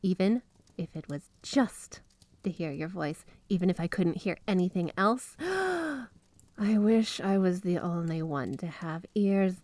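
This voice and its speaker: A woman's voice: medium pitch, darkish tone, no room sound, natural voice. Woman playing a clingy, sweet yandere wife, close and dry. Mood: wistful, possessive. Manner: sweet, clingy, breathy giggles, gushing affection. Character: flirty, obsessively devoted yandere wife with magic snow powers. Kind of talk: ASMR roleplay, addressing the listener as spouse. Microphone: close studio microphone, dry.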